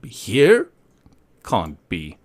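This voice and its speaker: A man from South Africa presents a casual software tutorial in an opinionated, playful way, thinking aloud as he goes. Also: on the low side, wildly swooping pitch, very slow.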